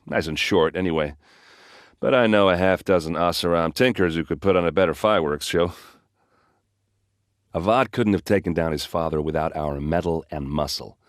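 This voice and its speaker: Gruff warrior played by a man, video game character, hard-drinking, friendly, boastful, wry, dry studio sound. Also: low-pitched, normal speed, dry recording, natural voice. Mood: conversational, proud. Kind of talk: game dialogue and combat barks.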